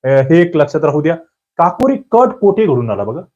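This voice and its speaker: A man's voice: sing-song, darkish tone. Quizzing male teacher, muffled recording. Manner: quizzing, demanding quick answers. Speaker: exam-prep teacher. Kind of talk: quiz-style lecture. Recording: muffled low-fidelity recording.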